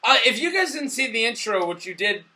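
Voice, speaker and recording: A man's voice: very high voice, big pitch swings, brisk, sharp tone. A drunk man co-hosting a casual, profane movie review, rambling and easily losing the thread. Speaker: movie review show host.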